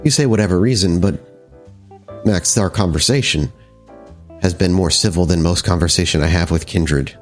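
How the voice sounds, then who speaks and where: A man voicing a courteous, guarded female vampire club owner in a tabletop game, with measured, formal phrasing. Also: low-pitched, faint music behind, pitched below the performer's own voice, natural voice.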